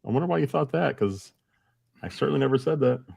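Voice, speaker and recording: A man's voice: medium pitch, animated, fast, vocal fry, darkish tone, breathy edge. A man co-hosting a podcast, chatting casually and telling everyday anecdotes. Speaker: podcast co-host.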